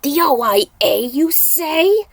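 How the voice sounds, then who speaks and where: Woman putting on a gruff, menacing skinny demon. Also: high voice, animated, slow pace, dry recording, pitched above the performer's own voice, full character voice. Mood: taunting.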